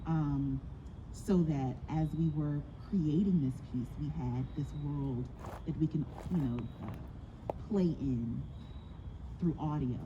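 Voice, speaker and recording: Woman director, lowish voice, halting and thoughtful live Q&A delivery, noisy recording. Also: highs cut off. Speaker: audio play director. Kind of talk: live Q&A talk.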